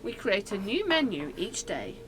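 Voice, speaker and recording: Woman as lively, practical canteen cook. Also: medium pitch, normal speed. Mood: matter-of-fact. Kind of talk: amateur radio play acting. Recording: faintly noisy amateur recording.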